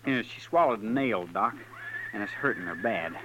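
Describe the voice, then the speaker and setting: A man's voice: lowish voice, expressive, moderate pace, fairly bright tone. Earnest young frontier farm boy. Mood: worried. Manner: simple, folksy, earnest. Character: plain, earnest young rural frontier son. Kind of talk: 1950s western radio drama acting. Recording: noisy old radio recording.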